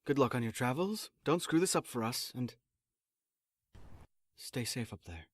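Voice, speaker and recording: A man's voice: mid-range voice, expressive, unhurried, no echo. A man playing a firm, pragmatic space mission handler with dry authority in an audio drama. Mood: brisk briefing.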